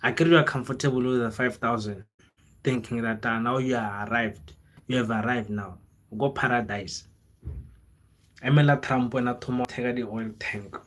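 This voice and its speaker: A man's voice: mid-range voice, steady tone, moderate pace, fairly bright tone. South African man chatting casually on livestream. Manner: informal, slangy, code-switches into local languages, repeats phrases. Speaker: livestreamer, content creator. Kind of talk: casual livestream chat, motivational rambling.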